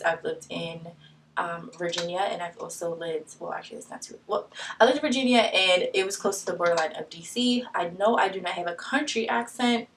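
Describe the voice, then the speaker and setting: Young woman, light Long Island accent, lowish voice, rambling casual vlog talk, slightly distant mic. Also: fairly bright tone, a little hiss or hum. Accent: Long Island, New York. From Long Island, New York. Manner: chatty, many ums and restarts. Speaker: new YouTuber. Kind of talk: casual get-to-know-me vlog.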